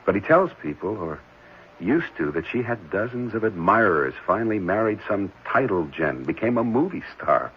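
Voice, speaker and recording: An actor playing a gentle, earnest married foster father in a 1970s radio drama, speaking hesitantly and reassuringly. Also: on the low side, big pitch swings, moderate pace. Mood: pensive. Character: gentle, caring married man fostering an orphan boy. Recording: muffled, noisy old radio broadcast.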